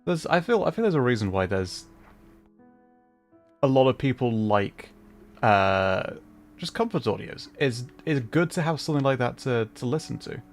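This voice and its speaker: A man hosting a casual gaming livestream, talking in a hesitant, measured way as he plays. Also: mid-range voice, expressive. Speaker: livestream host, gamer. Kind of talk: casual livestream chat while playing a video game. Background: music.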